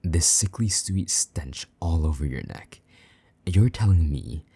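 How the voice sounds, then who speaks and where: Vampire knight, played by a man: rock-bottom pitch, dark breathy tone, possessive, condescending, commanding, intimate roleplay delivery. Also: lively intonation, no echo, heavily acted. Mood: jealous, disgusted. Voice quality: low, husky, breathy, often half-whispered. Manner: slow, taunting, commanding. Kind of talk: intimate roleplay audio drama.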